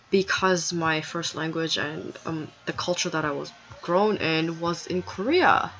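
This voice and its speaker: A woman talks to her camera in a loose, digressive monologue, leaning on "um" and "like" as she restarts her thoughts. Her voice has a bright, pushing edge. The recording sounds dull and lo-fi. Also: fairly low pitch. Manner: rambling, digressive, frequent fillers and restarts. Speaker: vlogger. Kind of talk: casual explanatory monologue.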